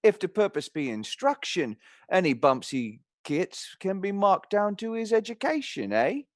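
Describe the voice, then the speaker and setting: A good-humored aging outlaw who fights with a quarterstaff. He chuckles at himself and swings widely in pitch, in a radio play style. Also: sing-song, a little slow. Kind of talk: stage radio play acting.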